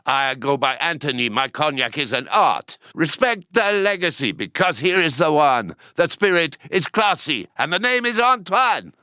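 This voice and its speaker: A proud cognac gentleman from another century in an audio comedy. The voice is highish and bright, swooping theatrically through florid, old-fashioned phrases over a music bed. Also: very animated, normal speed, hardly any pauses, no room sound, clean studio sound. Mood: boastful rapping. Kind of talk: scripted comedic podcast drama.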